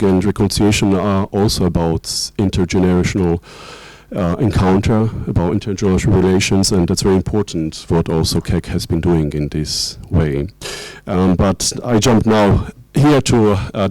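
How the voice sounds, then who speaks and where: Hesitant low-voiced man giving a talk. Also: a little mellow, no room sound, poor audio. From Czechoslovakia. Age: young adult. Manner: hesitant, many ums and restarts. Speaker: conference speaker, lecturer in international security. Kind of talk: conference talk.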